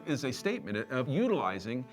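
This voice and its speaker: A man with a mid-range voice speaks with very animated intonation, stressing his points analytically. Music runs clearly behind his interview. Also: mid-range voice, a little fast. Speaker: documentary interviewee. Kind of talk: documentary interview. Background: background music.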